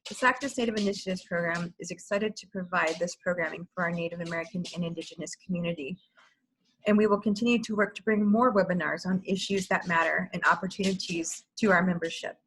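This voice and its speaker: A woman's voice: on the low side, steady tone, fast talker, a bit bright, slight background noise, low-quality recording. A woman hosting an online webinar, reading a formal scripted welcome and ground rules over a video call. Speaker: webinar host and program manager.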